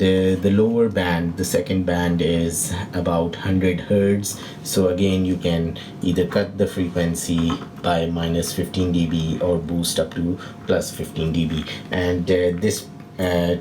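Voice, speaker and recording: A low-pitched male voice walks through gear in a casual tutorial style. He speaks haltingly, pausing often to find the next phrase. Clear background noise sits behind him in an indoor room. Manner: hesitant, halting delivery with frequent pauses. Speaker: product demonstrator, video host. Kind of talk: casual unscripted equipment tutorial. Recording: noisy room with steady background hiss.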